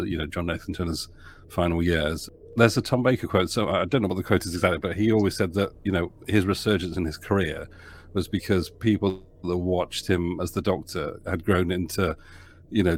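Male producer, deep low voice, casual interview guest, rambling, filler-heavy, light background noise. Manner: rambling with frequent fillers and restarts. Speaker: audio drama producer.

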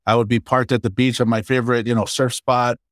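A man in his forties from Hawaii, a startup founder chatting casually and warmly about his life as an interview guest. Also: medium pitch, little pitch movement, fast talker, dry recording, clean studio sound.

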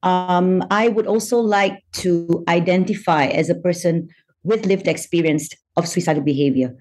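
A middle-aged woman from Malaysia, a psychiatrist answering interview questions in a measured, thoughtful way with frequent pauses. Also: lowish voice, a little mellow. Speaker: psychiatrist guest.

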